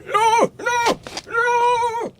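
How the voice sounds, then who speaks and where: A man's voice: very high voice, little pitch movement, fairly bright tone, slight background noise, heavily acted. An actor playing a frail, frightened, lonely old man in a radio drama, stammering and tearful. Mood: bewildered. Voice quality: quavering, tearful. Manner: stammering, halting.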